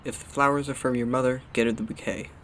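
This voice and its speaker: A man's voice: mid-range voice, fairly even intonation, quick pace, a bit bright, slight background noise, lightly acted. Man playing a chatty old flower vendor. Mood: friendly advising. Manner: folksy, familiar, advice-giving salesman patter.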